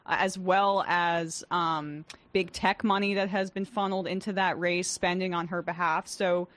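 A woman co-hosting a podcast, giving blunt and opinionated political commentary. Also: fairly low pitch. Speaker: podcast co-host.

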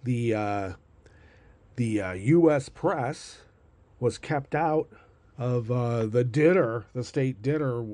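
Man, host, stuffed-up nose, sarcastic, rambling, dark tone. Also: medium pitch, takes it slowly, no echo. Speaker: podcast host. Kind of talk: casual monologue.

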